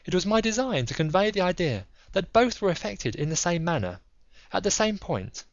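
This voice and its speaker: A man voicing an analytical, self-assured gentleman detective in an audiobook, lecturing precisely and emphatically on his deductions. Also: high-pitched, very animated, moderate pace, no room sound, muffled recording, pitched above the performer's own voice. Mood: methodical, assured. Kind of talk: dramatized audiobook dialogue.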